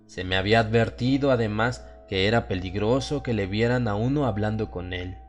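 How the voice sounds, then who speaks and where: A man with a fairly low voice reads a horror tale as an audiobook narrator. His delivery is measured, literary and dramatic. Faint music plays underneath him.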